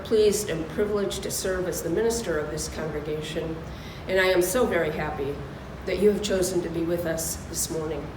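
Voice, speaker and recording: Woman minister, lowish voice, worship welcome and readings; roomy, noisy recording from a distance. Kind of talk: church welcome and solemn reading. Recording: echoey room, distant mic, clear noise.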